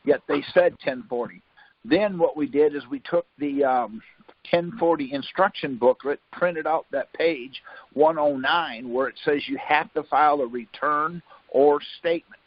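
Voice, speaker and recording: Male host, husky, slightly high, rambling and self-correcting, on a dull muffled call line. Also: unhurried, dry recording. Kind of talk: casual explanatory talk. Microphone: phone line. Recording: muffled phone-call audio.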